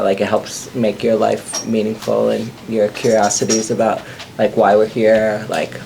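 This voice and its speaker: A man talks on an art panel in a low, even voice. He hesitates constantly, padding his thoughts with "like" and "um". His delivery stays flat and rambling. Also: creaky, noisy background. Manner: halting, full of "like" fillers. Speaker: panelist artist. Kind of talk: panel discussion.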